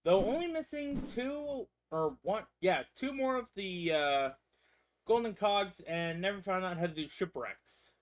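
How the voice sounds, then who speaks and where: A man's voice: high voice, lively intonation, a little slow, a little hiss or hum. A man with a stuffy head cold giving rambling, casual commentary as he streams a game. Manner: rambling, loose and chatty, with frequent restarts. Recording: loud game music behind voice.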